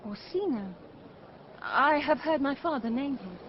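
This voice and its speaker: An actress playing an earnest, resolute young shipwrecked gentlewoman, speaking formal verse in a Shakespeare radio drama. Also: sits high, animated, a little slow. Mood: earnest resolve. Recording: muffled, faint ambience behind.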